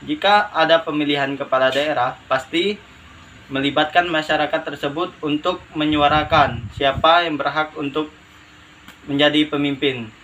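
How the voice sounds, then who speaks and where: A man's voice: a little high, fairly even intonation, normal speed, fairly bright tone, low-quality recording. A young man, delivering a prepared opinion statement. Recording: faint background noise.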